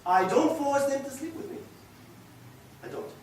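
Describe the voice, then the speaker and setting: A man acting a passionate, boastful, unrepentant young womanizer in a theatrical stage monologue, swinging between hushed murmurs and loud declarations. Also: sits high, animated, normal speed. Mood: defiant, pleading. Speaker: actor. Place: echoey indoor room, recorded from a distance. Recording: echoey room, distant mic, faint noise.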